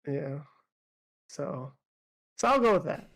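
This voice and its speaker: A man's voice: on the high side, very animated, slow, gravelly, fairly bright tone, poor audio. A man co-hosting a casual podcast chat, hesitant and rambling, with lots of fillers. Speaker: podcast co-host.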